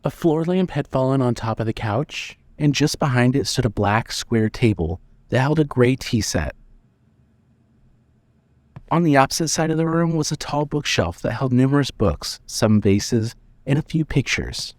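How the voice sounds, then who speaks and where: A man narrating a scripted dramatic story in a measured reading manner. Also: mid-range voice, lively intonation, darkish tone, no echo.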